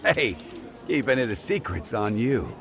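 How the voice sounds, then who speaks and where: Gravelly, needling gambling-den lowlife. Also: male voice, sing-song, measured pace. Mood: teasing. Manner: teasing, condescending. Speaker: voice actor in a video game. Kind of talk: dramatic game cutscene dialogue, English dub.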